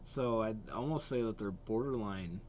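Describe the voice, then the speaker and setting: A man's voice: on the low side, normal speed, a little mellow, lo-fi sound, a little hiss or hum. A man giving casual reaction commentary as a host, thinking aloud as he goes.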